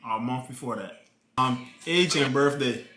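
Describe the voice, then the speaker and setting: A man's voice: mid-range voice, normal speed, sharp tone, roomy sound, faint music behind. Slangy teasing man in his thirties. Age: 30s. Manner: slangy, teasing, laid-back. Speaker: vlog co-host. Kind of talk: casual couple Q&A chat.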